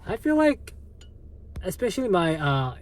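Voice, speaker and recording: A man with a Korean accent, a native Korean speaker, hosting a livestream and answering viewer comments in a halting, hesitant way with lots of fillers. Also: high voice, big pitch swings. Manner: slow, halting, many fillers and restarts. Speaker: livestream host.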